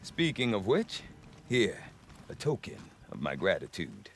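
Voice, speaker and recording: An actor playing a jovial, wry frontier trader in a video game, affable and folksy in manner. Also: male voice, low-pitched, wildly swooping pitch, a little slow, slight background noise, heavily acted. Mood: pleased, wry. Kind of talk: video game cutscene acting.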